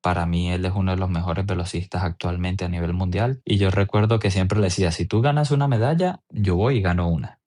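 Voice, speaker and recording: Deep-voiced young Venezuelan man. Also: fairly quick, hardly any pauses, a little mellow. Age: 20s. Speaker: athlete interviewee. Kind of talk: personal storytelling in an interview.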